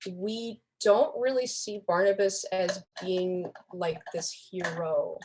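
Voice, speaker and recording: Young woman, lowish pitch, podcast guest, casual lively chat, wry, emphatic. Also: slow, rough recording. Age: young adult. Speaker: podcast guest, fan community member.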